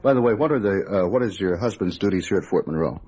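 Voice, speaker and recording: An American man conducting a polite, formal radio interview, putting his questions in a hesitant, halting way. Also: low-pitched, animated, a little mellow, husky.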